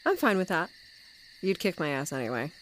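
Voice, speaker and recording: A woman's voice: on the low side, big pitch swings, fairly quick, a bit bright. A woman playing a serious, guarded young friend with dry humour in an audio drama. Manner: understated, guarded. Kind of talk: naturalistic audio drama acting.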